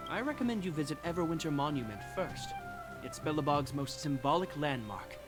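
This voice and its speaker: Male actor for a formal young guard captain in a game, slightly higher voice, game music behind. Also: expressive, natural voice. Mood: courteous. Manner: polite, measured and formal. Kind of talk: video game voice acting.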